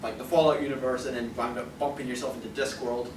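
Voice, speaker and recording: A man's voice: medium pitch, steady tone, fast. A man speaking informally on a convention panel, thinking aloud with hesitations and repeated phrases as he answers questions. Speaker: convention panelist from an audio drama group. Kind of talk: informal panel talk and audience Q&A. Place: convention panel room, indoors. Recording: roomy, distant microphone, noisy convention room.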